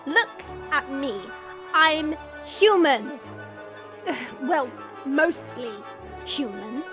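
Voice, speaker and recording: A woman's voice: sits high, expressive, very slow, over music, full character voice. A woman playing a haughty, hot-tempered dragon princess in human form, indignant and imperious, in an audio roleplay. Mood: scornful, irritated.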